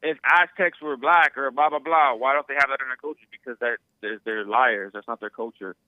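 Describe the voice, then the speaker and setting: A man's voice: mid-range voice, brisk, no room sound. Guy ranting over a crappy phone line, keeps repeating himself, dry and tinny. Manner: insistent, repeats himself, stumbles over words. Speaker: caller. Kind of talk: phone-in argument.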